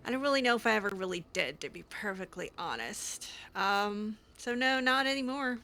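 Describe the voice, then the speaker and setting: A woman improvising in-character chat over a video game, casual and halting, with a teasing streak. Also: medium pitch, pushed and bright. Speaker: gaming channel host, roleplayer. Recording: music behind the voice. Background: game music.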